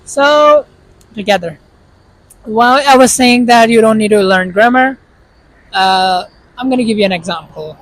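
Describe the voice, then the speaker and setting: A man's voice: sits high, moderate pace, pauses often, a bit bright, low-quality recording. A man from Pakistan with a Pakistani accent and Urdu as his first language, giving casual, earnest advice straight to the viewer. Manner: earnest, explaining directly to the viewer. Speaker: vlogger.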